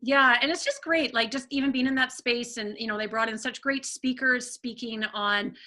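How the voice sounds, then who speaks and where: A woman's voice: on the high side, normal speed, sharp tone. A woman in her forties, from Canada, hosting an interview in a chatty, casual way, full of "like" and "you know". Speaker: interview host.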